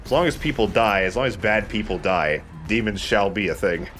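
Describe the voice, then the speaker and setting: A man hosting a let's play, giving casual, expressive commentary as he plays a shooter. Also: on the low side, brisk. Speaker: let's play host, playing a shooter game. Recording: noticeable background noise.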